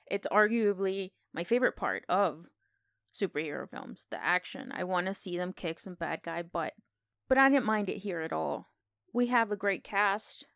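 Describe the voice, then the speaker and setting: A woman's voice: medium pitch, a bit bright, clear, resonant tone, no room sound, studio quality. A woman co-hosting a podcast, casually discussing film in a conversational, opinionated way. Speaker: podcast co-host.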